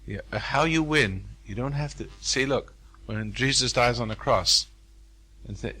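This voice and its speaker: A man's voice: medium pitch, measured pace, sharp tone, breathy edge. An older man speaking to a live seminar audience in a hotel room, folksy and preacherly, repeating phrases for emphasis.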